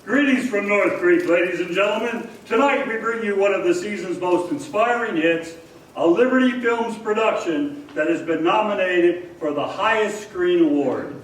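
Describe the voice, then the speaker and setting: A man's voice: sits high, moderate pace, lightly acted. A man playing an upbeat, theatrical 1940s radio show announcer, welcoming a live audience as host. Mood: grand, earnest. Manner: theatrical, showy, addressing the audience. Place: stage of a performing arts hall. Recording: echoey hall from far off, faint noise.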